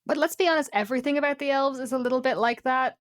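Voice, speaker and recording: A woman's voice: sits high, fast talker, dry recording, clean studio sound. A woman talking casually about folklore and games, opinionated and given to rambling asides.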